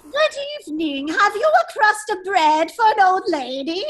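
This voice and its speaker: A woman's voice: very high voice, big pitch swings, a little slow, a bit bright, full character voice. A woman reading the part of a begging old hag in a radio drama. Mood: pleading. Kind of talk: table read of a radio drama.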